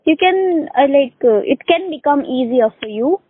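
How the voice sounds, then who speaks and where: A woman's voice: high voice, animated, moderate pace, no room sound. A woman with an Indian accent, a native Urdu speaker, chatting casually as an English conversation tutor. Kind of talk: casual one-to-one lesson chat. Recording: dry, narrow phone-line sound.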